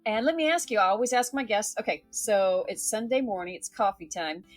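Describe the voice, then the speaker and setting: A woman's voice: mid-range voice, fairly quick, no room sound, soft background music. A woman hosting a casual interview, chatting and asking her guest questions. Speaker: host.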